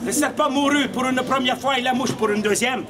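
A man's voice: very high voice, fairly quick. A middle-aged man tells a comic story as a street puppeteer, projecting theatrically with mock drama and playful asides. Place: outdoors in a street against a stone wall. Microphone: camera at a few metres. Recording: outdoor camera recording with audience noise. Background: loud crowd and audience laughter.

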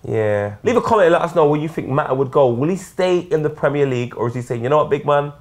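A man's voice: a little high, lively intonation, fast talker. A young man co-hosting a casual football chat show from a studio sofa, giving opinionated, laid-back banter. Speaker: co-host. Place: studio set with sofas.

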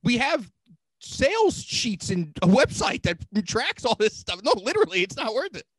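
A man's voice: very high-pitched, sing-song, moderate pace, fairly bright tone, husky, dry recording. A young man co-hosting a podcast, telling an annoyed story, swearing often, talking over a video call from home. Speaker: podcast co-host. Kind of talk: casual chat. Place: small home room. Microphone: headset mic on a video call.

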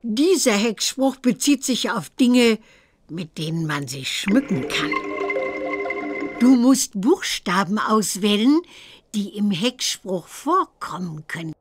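An old witch examiner in a children's computer game. The voice is husky and slow, lively in melody as it instructs, recorded dry. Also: mid-range voice, soft background music, full character voice. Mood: instructive.

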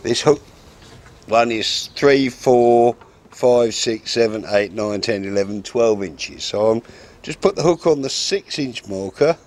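A man's voice: mid-range voice, measured pace, darkish tone. A man in his sixties, recorded outdoors in a garden, frankly talking through a fishing how-to step by step. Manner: plain, practical and frank, with short step-by-step instructions. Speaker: fishing instructor. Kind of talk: casual how-to demonstration. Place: outdoors in a garden by a wooden fence.

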